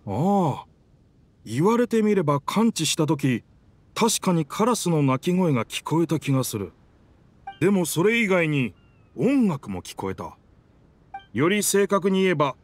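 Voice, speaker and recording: Casting: sentient boat sidekick in an anime game; higher-pitched, animated, rough-spoken yet sheepish and laid-back. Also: male voice, unhurried. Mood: unsure, thinking. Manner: casual, rough masculine speech, sheepish. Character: talking sentient boat, easygoing, excuse-making male. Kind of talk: Japanese anime game voice acting. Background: game music.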